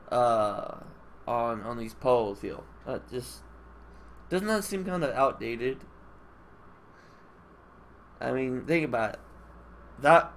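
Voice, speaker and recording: A man with a nasal, congested voice giving casual, rambling commentary as he hosts a gaming video, musing aloud. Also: medium pitch. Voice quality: nasal, stuffy nose. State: congested nose. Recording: clear background noise on mic.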